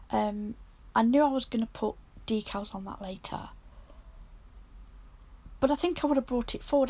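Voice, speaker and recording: Host of a nail art channel: woman, croaky and husky from a sore throat, fairly high voice, half-whispered step-by-step tutorial delivery. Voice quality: husky, gravelly voice.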